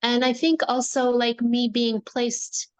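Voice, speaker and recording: A woman's voice: on the high side, level tone, takes it slowly, poor audio. A woman who grew up in Morocco, guest on a podcast interview, speaking reflectively with many fillers. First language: English and Moroccan Arabic. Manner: reflective, uses many fillers like "like" and "you know". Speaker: guest, nonprofit founder. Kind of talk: conversational interview.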